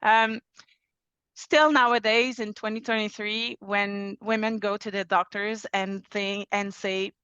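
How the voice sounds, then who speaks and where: A woman's voice: fairly high pitch, fairly bright tone, clear, resonant tone, dry recording, dull audio. A woman family physician from Quebec with a Québécois French accent, a native French speaker, talking earnestly with frequent restarts. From Quebec, Canada. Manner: earnest, many restarts, laughs easily. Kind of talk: interview answers.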